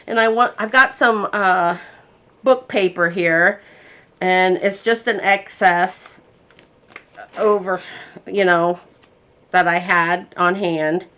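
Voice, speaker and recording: A woman's voice: fairly low pitch, steady tone, takes it slowly, long pauses, pushed and bright. A woman hosting a craft tutorial, chatting casually and thinking aloud as she works, a bit congested from her sinuses. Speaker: crafting channel host.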